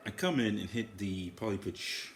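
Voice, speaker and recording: A man giving a casual, unscripted demo of guitar effects gear, talking in halting fragments as he explains his setup. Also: lowish voice. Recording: echoey room, iPad recording. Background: processed guitar effects sounds.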